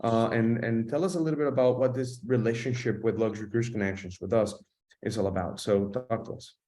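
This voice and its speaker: A man's voice: medium pitch, fairly even intonation, brisk, warm tone. A man hosts an online webinar, welcoming guests with plenty of fillers. His voice sits in the middle range and carries a noticeable room echo. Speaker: webinar host. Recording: echoey room.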